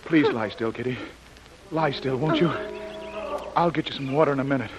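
A man's voice: medium pitch, normal speed, over loud music, natural voice, low-quality recording. An actor playing a rugged male jungle adventurer, urgent and protective, in old radio acting. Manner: urgent, protective, talking to himself. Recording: hissy old radio recording.

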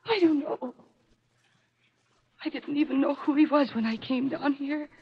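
High-voiced, troubled young daughter. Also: female voice, a little slow, a little hiss or hum. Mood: dazed. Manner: earnest, dazed, dramatic. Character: troubled, bewildered daughter of a hanged killer. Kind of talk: 1940s radio mystery acting. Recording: muffled old radio recording.

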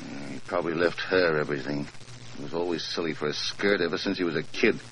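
Low-voiced, bitter American man. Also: fast talker, a bit bright, poor audio. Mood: sour, grudging. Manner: blunt, sour, slangy. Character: bitter, blunt estranged brother, grudging and suspicious. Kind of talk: 1940s radio drama acting. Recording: vintage 1940s radio recording, faint noise.

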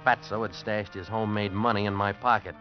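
A man playing a low-voiced, world-weary cynic. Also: little pitch movement, brisk, lightly acted. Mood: weary, intrigued. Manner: wry, hard-boiled. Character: world-weary cynical broke actor narrating his story. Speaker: actor in a radio drama. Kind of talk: 1950s radio adventure drama narration. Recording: muffled vintage radio recording, music behind.

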